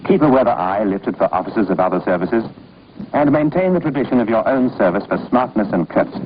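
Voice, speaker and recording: A man's voice: on the low side, animated, fast talker. A man reading scripted training-film narration in a formal, instructive, authoritative manner. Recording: muffled, noisy old film soundtrack.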